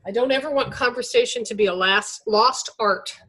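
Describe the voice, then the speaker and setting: A woman's voice: fairly high pitch, normal speed, bright, forceful tone. Upbeat woman admissions advisor on a call. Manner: emphatic, conversational, upbeat. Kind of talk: webinar Q&A answers. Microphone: video call.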